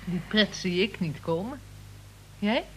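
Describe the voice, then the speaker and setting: An actress playing a wry, sharp-tongued woman in a Dutch radio play, dry and teasing in manner. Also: medium pitch, animated, unhurried, husky. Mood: reproachful, wry. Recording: noisy old radio play recording.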